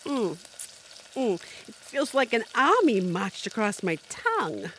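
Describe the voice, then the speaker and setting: A woman's voice: fairly high pitch, very animated, unhurried, a bit bright. A woman playing a languid, flirtatious, hungover houseguest in an audio comedy, sounding groggy. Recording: noisy kitchen sound effects.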